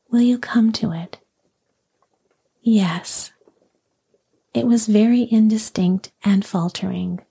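Slow breathy whispering woman. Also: medium pitch. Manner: slow, soft and soothing. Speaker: sleep story narrator. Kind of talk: whispered bedtime story reading, ASMR.

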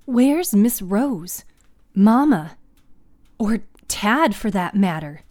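A woman's voice: mid-range voice, big pitch swings, extremely slow, a little mellow, no room sound, studio quality. A woman voicing an earnest, questioning young woman in a storybook fantasy tale. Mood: concerned.

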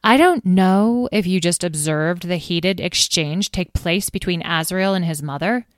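A woman's voice: fairly low pitch, unhurried, no echo, clean studio sound, lightly acted. A woman voicing a proud, imperious fairy leader in a storybook who snaps and lectures the others. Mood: lecturing. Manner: snappish and haughty.

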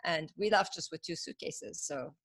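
Woman, fifties, from Iran, low voice, gravelly, reflective interview storytelling, fillers. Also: normal speed, a bit bright. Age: 50s. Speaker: podcast guest, entrepreneur. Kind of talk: casual interview chat.

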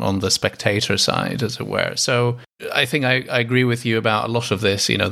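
A man's voice: lowish voice, vocal fry, fairly bright tone. A man from Greece hosting an interview, asking long questions in a casual, hedging way with many fillers. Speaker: interviewer, podcast host.

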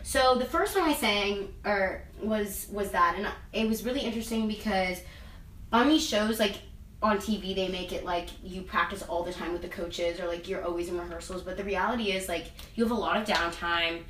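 A woman's voice: mid-range voice, normal speed, a bit bright. A young adult woman chatting casually in an interview, rambling and filling her talk with "like" and "um.". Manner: rambling, heavy use of "like" and "um". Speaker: interviewee, singer and actress. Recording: echoey room, mic at a distance, faint ambience.

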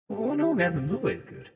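A man's voice: sits high, gravelly. A man co-hosting a podcast with casual, crude joking banter in a reverberant room. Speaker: podcast co-host.

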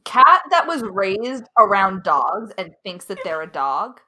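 Lively woman in casual, playful chat. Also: mid-range voice, takes it slowly, a little hiss or hum. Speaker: audio drama lead writer answering questions.

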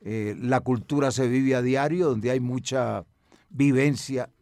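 An older man from Colombia with a Colombian accent answers interview questions reflectively, in measured, articulate and rather formal language. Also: mid-range voice, fairly even intonation, a little mellow, dry recording, clean studio sound. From Medellín, Colombia. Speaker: cultural radio broadcaster and music researcher.

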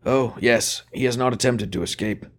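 A man doing a frail, gentle old cardinal. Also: medium pitch, normal speed, voice pushed up, lightly acted. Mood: sombre. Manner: soft, weary, kindly. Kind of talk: audiobook character voice.

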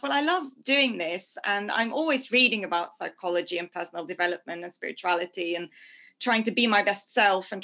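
A woman's voice: mid-range voice, gravelly, fairly bright tone. A woman from Norway, answering interview questions conversationally as a guest life coach. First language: Norwegian.

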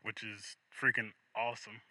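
A man's voice: on the low side, level tone, moderate pace, bright, forceful tone, no echo, low-quality recording. A man from New York State hosting a podcast, chatting casually and upbeat with his guests. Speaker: podcast host.

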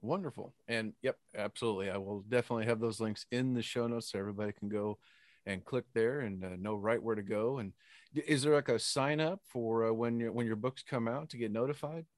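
A man's voice: mid-range voice, brisk, dry recording, studio quality. A man hosting a casual interview podcast in an effusive, folksy manner. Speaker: podcast host.

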